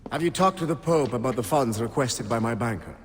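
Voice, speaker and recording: An actor playing an arrogant, power-hungry Renaissance warlord in a video game, seductive and commanding. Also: male voice, medium pitch, expressive, fairly quick, in a large hall, natural voice. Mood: sly. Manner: commanding, domineering. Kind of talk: video game cutscene acting.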